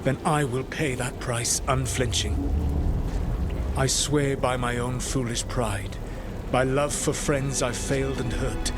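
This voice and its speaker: An actor playing a proud, brooding ancient elven rebel mage in a video game, speaking in grave, formal oratory. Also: male voice, mid-range voice, close to an everyday voice. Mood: solemn. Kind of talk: dramatic video game voice acting. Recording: loud music over the voice. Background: loud game soundtrack.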